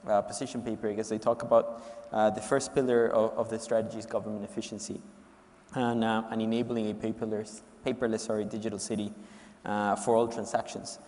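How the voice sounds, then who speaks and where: A man's voice: fairly low pitch, level tone, fairly quick, darkish tone. A man moderating a panel, asking long, polite questions with many restarts. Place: conference hall panel stage. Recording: reverberant conference hall.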